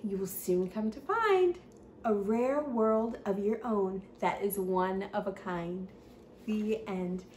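Animated young woman reading to children, high-pitched. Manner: animated, sing-song, drawn-out emphasis on words. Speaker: children's read-aloud host. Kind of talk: expressive picture-book reading for children. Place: small indoor room with bookshelf. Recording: roomy echo, faint background noise.

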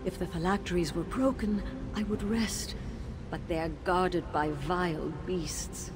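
Actress for a grave, low-voiced ghost teacher, weary and sorrowful, with game-audio hiss. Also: female voice, measured pace, lightly acted. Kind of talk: video game voice acting. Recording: game audio with steady background noise.

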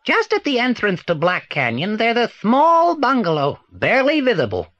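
An actor with a British accent playing a reclusive, secretive old film actor in a children's audio drama, deliberate and theatrical. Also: male voice, extremely high pitch, huge pitch swings, a bit bright, no room sound, lo-fi sound, full character voice. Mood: confessional.

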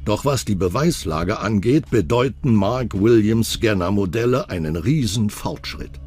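A man's voice: on the low side, expressive, measured pace, a little mellow, slightly rough. A man reading scripted true-crime documentary narration as the narrator. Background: background music.

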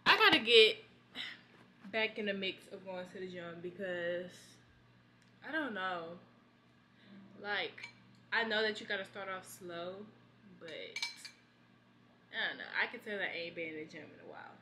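A woman's voice: medium pitch, a little slow, long pauses, a little hiss or hum. A young woman in her twenties vlogging from her apartment, chatting casually to her phone camera with easygoing slang. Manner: relaxed, chatty, talks straight to camera with slang. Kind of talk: casual vlog chat. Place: small apartment kitchen indoors.

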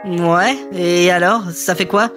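A woman's voice: lowish voice, fairly even intonation, takes it slowly, a bit bright, faint music behind. A woman playing a cocky young boy god in an audio drama, boastful, indignant and whining.

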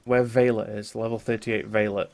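A man's voice: fairly low pitch, level tone, normal speed, darkish tone. Stuffy-nosed man chatting over a game. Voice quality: stuffy, congested. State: has a cold, run down. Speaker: gaming video host. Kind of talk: casual co-op gameplay chat.

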